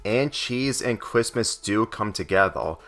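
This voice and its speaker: A curious young girl in a story reading, chatty and earnest, with a man reading her in a lowish voice. Faint music is heard behind. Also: lively intonation, a little slow, fairly bright tone. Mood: pleased. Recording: music under the voice.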